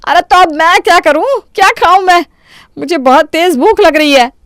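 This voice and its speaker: A woman voicing a quick-tempered, berating Indian mother-in-law in a Hindi cartoon story. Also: extremely high pitch, a bit bright, no echo, studio quality. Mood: angry scolding. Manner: harsh, exclamatory, berating.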